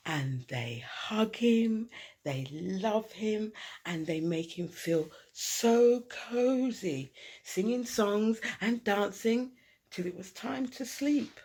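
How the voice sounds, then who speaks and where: A woman reading a children's picture book aloud expressively, dramatic, with hushed asides and emphasis on repeated words. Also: mid-range voice, animated, slow, breathy edge. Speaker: storyteller.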